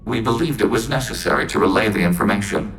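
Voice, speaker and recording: An actor voicing a calm, logical synthetic soldier, speaking in flat, precise, formal sentences. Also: male voice, fairly low pitch, fast, a little hiss or hum, low-quality recording. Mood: earnest. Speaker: squadmate. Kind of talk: video game voice acting. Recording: game audio with music behind.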